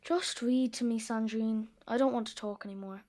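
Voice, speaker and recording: A woman's voice: a little high, fairly even intonation, moderate pace, a little mellow, close to an everyday voice. A woman playing a hurt, stubborn young girl with a petulant manner in a children's audio drama. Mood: withdrawn. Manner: sulky, emphatic, petulant. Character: hurt, stubborn ten-year-old girl, grieving her mother.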